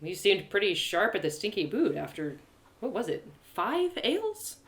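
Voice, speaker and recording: Woman playing an insistent, animated young adventurer heroine. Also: medium pitch, moderate pace. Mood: probing. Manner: insistent, colloquial, exasperated. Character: Allie, eager young woman adventurer, pushy and sarcastic. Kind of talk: first-person fantasy audio drama reading.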